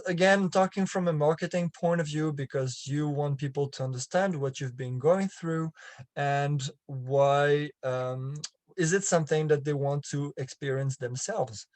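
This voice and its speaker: A middle-aged man from France with a French accent, explaining marketing through his own story and often pausing on fillers and restarts. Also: on the high side, no echo. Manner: halting, many fillers and restarts. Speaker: online marketing coach. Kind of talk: explaining with a personal example.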